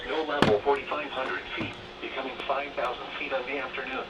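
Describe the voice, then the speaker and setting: A man's synthetic, robotic voice reading a scripted weather forecast as a radio announcer, measured and formulaic. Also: medium pitch, little pitch movement, muffled recording. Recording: noisy weather radio heard from a distance.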